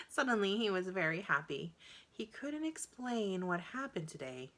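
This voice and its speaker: Animated, low-pitched woman reading a storybook. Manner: animated, deliberate, acts out dialogue. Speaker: storybook reader. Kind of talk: expressive read-aloud of a children's story.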